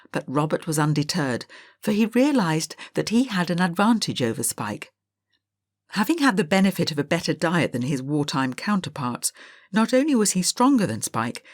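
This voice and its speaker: Female narrator for a scripted storybook, lowish voice, dry recording, measured but expressive delivery. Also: no echo. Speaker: audiobook narrator.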